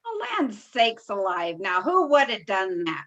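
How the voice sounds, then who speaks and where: A woman's voice: medium pitch, big pitch swings, a little slow, fairly bright tone. An actress playing a folksy, scolding, impatient older mother-in-law in an old-time radio drama. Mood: impatient, puzzled.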